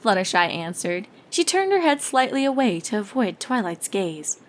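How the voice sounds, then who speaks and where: A woman narrates a written story in a mid-range voice. Her intonation is lively and varied, as a storyteller reading from a script. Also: mid-range voice, moderate pace. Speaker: narrator, fan fiction reader.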